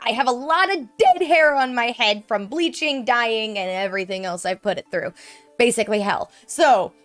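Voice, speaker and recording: A woman's voice: a little high, normal speed, soft background music. Congested woman chatting over gameplay. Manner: chatty, rambling, lots of fillers. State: congested from a cold. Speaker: let's play streamer. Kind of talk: casual gameplay commentary.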